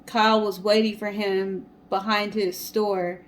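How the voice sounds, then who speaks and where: A woman's voice: mid-range voice, little pitch movement, measured pace, slight background noise. Woman co-host telling a story. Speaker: co-host of a storytime guessing-game show. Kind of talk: storytelling from notes with casual banter.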